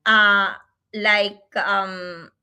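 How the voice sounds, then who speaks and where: Slow, bright-voiced woman, non-native English. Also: medium pitch, fairly even intonation, slight background noise. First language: not English. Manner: slow, halting, many fillers. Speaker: co-host. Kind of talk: casual livestream chat.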